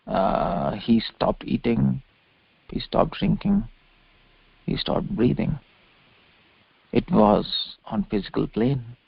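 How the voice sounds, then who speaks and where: A man's voice: medium pitch, huge pitch swings, slow, vocal fry, pauses often, husky, dry recording. A man giving an extemporaneous scripture lecture as a preacher, explaining didactically and repeating phrases for emphasis, heard over a conference call. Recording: muffled lo-fi conference call audio.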